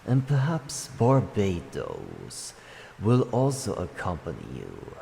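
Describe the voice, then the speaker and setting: A man voicing an ancient dragon guardian, solemn, calm and kindly, speaking slowly in formal, measured phrases in a roleplay addressed to the listener. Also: full character voice. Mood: calm, fond. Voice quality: deep, gravelly. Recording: long cavernous reverb, faint background noise.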